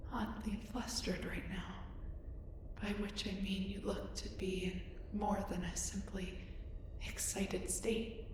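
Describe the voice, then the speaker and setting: A woman's voice: medium pitch, close to an everyday voice. Echoey, formal alien scientist played by a woman. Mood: intimate, curious. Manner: formal, measured, precise wording. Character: curious, polite, emotionally reserved female alien scientist. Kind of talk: scripted roleplay audio drama. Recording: roomy echo, distant, clear background noise.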